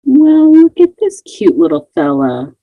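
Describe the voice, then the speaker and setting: A doting hiker woman coos in a high, sing-song voice, as a woman reads her. The recording is dull and dry. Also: huge pitch swings, unhurried, a little hiss or hum, full character voice. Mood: cooing. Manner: cooing, doting. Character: cooing adult woman hiker.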